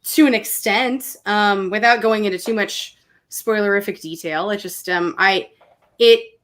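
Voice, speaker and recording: A woman chatting casually, speaking in an opinionated, emphatic and animated way. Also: mid-range voice, unhurried. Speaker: guest film commentator. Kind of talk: casual chat.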